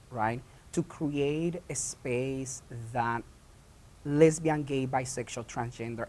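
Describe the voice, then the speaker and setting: Man from Puerto Rico, theatre director, halting answers full of ums, mid pitch. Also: a little mellow, slightly rough. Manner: halting, many ums and restarts. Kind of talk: reflective interview answers.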